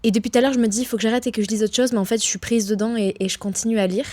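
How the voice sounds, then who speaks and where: A woman's voice: on the high side, fairly even intonation, racing, warm tone. A young woman with a cold, chatting casually to camera about her reading, with self-deprecating asides. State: congested with a cold. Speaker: book vlogger. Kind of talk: casual vlog chat.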